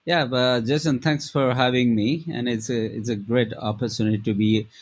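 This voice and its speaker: A middle-aged man from Nepal with a Nepali accent, answering interview questions in a halting way with many fillers and restarts. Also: medium pitch, fairly even intonation. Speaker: guest, travel company founder.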